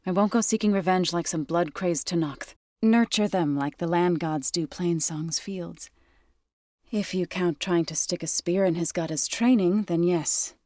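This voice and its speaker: Low-pitched, earnest warrior woman, played by an actress. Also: a little slow, a little mellow, dry recording, clean studio sound, lightly acted. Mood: resolute, thoughtful. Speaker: video game character. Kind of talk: scripted video game dialogue.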